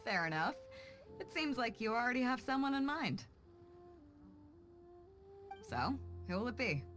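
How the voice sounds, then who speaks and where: A woman's voice: on the high side, expressive, unhurried, fairly bright tone, faint music behind. An actress playing a confident, playful woman ship captain in a video game, with a teasing, assured and instructive manner. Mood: teasing, breezy.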